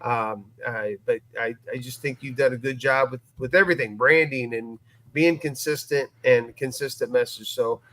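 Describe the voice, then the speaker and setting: A man's voice: mid-range voice, fairly even intonation, normal speed, pushed and bright, rough recording. A man chatting casually on a podcast recap in an upbeat, encouraging way. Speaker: podcast guest.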